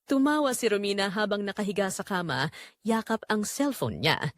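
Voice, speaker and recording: A Filipino woman narrates a scripted drama story. Her mid-pitched voice moves up and down in an animated way, and the sound is dry and close, with little room around it. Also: moderate pace, no room sound.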